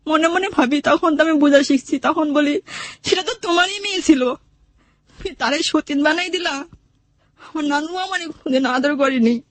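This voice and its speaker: A woman with a clearly high voice and a Bangladeshi accent shares a personal story. She speaks plaintively and voices remembered conversations as she goes. The recording is dry and close. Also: normal speed, no echo. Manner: plaintive, acts out remembered dialogue. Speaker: guest telling her life story. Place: radio studio.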